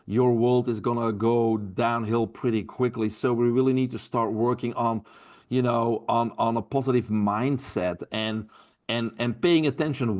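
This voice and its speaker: Man, Belgian accent, earnest, interview guest, mid-pitched voice, repeats words while thinking. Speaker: guest, health mentor. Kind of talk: podcast interview.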